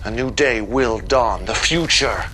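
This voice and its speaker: A man's voice: mid-range voice, measured pace, pushed and bright, a little hiss or hum. A man playing a menacing messianic cult leader who delivers solemn, oratorical proclamations in a video game. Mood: grandiose triumph. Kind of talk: scripted video game propaganda speech.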